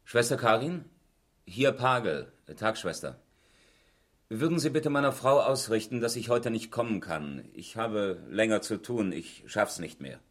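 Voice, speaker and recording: A man's voice: lowish voice, animated, measured pace. A man acting an indignant, evasive engineer under police questioning in a 1970s East German radio crime drama, defensive in manner. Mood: polite, subdued. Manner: protesting, defensive. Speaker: actor.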